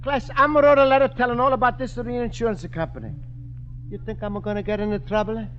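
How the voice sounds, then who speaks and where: An actor playing a naive, earnest Italian immigrant shopkeeper who speaks broken English with an Italian accent in a 1950s radio sitcom. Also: male voice, extremely high pitch, fairly even intonation, fast, fairly bright tone, faint music behind. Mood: worried. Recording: muffled, noisy old radio recording.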